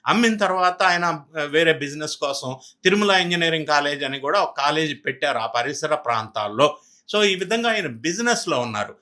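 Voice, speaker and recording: A man's voice: a little high, sharp tone. A man with a Telugu accent giving emphatic political news commentary, often posing rhetorical questions.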